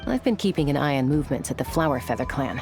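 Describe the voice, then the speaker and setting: A woman's voice: very deep voice, big pitch swings, quick pace, darkish tone, exaggerated voice. A woman playing a cool, loyal young female scout in a video game, blunt, confident and sardonic. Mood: resolute. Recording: music under the dialogue.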